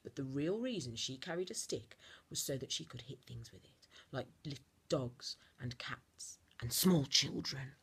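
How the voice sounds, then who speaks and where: Female storyteller for a children's book, low and very breathy-husky, expressive, with theatrical stresses and pauses. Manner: theatrical emphasis with deliberate dramatic pauses. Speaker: teacher reading a storybook to children.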